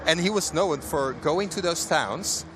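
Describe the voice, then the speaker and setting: A man, a game developer, explains gameplay in a fairly high voice, eagerly and with many "uh"s and restarts. He speaks at a trade fair stand during a live stream. Loud noise hums behind him. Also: a little slow.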